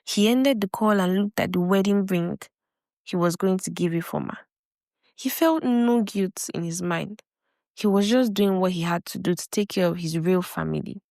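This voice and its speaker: A woman narrates a scripted folktale in a mid-pitched voice. The recording is close and dry, with very little room sound around her storytelling. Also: moderate pace, darkish tone, dry recording.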